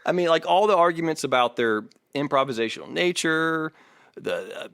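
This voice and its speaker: A man's voice: fairly high pitch, lively intonation, moderate pace, creaky, a bit bright, no echo, clean studio sound. A man co-hosting a casual podcast, reading from articles and arguing his points in an opinionated way. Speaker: podcast co-host.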